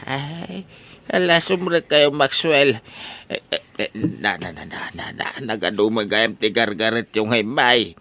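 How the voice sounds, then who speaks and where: A man's voice: mid-range voice, expressive, measured pace, pushed and bright. A man playing a flustered, stammering elderly father with an Ilocano accent in a comedy audio drama. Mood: flustered, startled. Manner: stammering, hesitant. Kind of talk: comedy radio drama acting.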